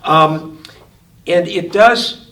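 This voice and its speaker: A man's voice: high voice, a bit bright. Man reading his essay from afar. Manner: slow, measured, deliberate reading cadence. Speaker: physician and author. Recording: roomy sound from a distance.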